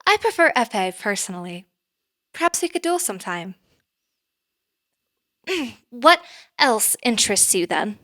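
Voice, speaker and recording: A woman playing a courteous, businesslike manager in an audio drama, speaking in a formal, measured way. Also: medium pitch, big pitch swings, fairly bright tone. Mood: courteous, intrigued. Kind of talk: naturalistic audio drama acting.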